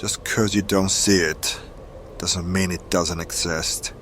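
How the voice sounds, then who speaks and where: An actor playing a solemn, darkly assured mystical mentor in a fantasy audio drama, speaking with measured, commanding gravity. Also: male voice, sits low, fairly even intonation, heavy background noise. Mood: calm, assured. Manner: measured, portentous, incantatory. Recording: music under the voice.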